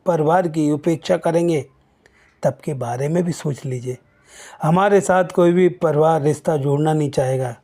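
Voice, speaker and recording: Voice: medium pitch, warm tone, close to an everyday voice. A narrator voicing a bitter middle-aged mother in a storybook tale, scolding and lamenting with insistent questions.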